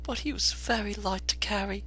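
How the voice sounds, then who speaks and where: A man voicing a gentle Victorian working-class wife and mother, tender and fond, for a storybook reading. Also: high voice, steady tone, normal speed, slight background noise, pitched above the performer's own voice. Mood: tender, sad.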